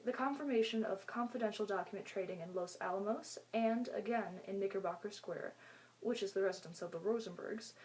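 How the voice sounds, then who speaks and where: A woman's voice: medium pitch, a little mellow, rough recording. A woman anchoring a newscast, reading scripted news reports as a narrator.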